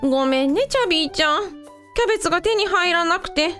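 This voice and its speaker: A gentle, easygoing mother played by a woman speaks in a very high voice with animated melody. She is sympathetic, in an amateur radio-drama style. Faint music plays behind. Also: brisk, full character voice.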